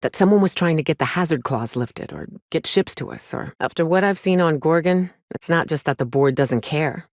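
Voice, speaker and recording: A woman's voice: low-pitched, lively intonation, normal speed, hardly any pauses, a little mellow, no echo, clean studio sound. A woman playing a weary, cynical but caring frontier woman in a video game, blunt and plainspoken with folksy, sweary talk. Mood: disillusioned. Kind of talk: video game character dialogue.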